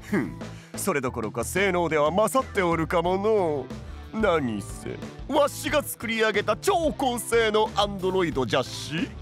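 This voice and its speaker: A man's voice: high-pitched, sing-song, moderate pace, fairly bright tone, faint music behind. An actor playing a proud, flamboyant tea-master lord in a voice drama, boasting theatrically in archaic old-man phrasing. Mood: boastful. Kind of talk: Japanese voice drama acting.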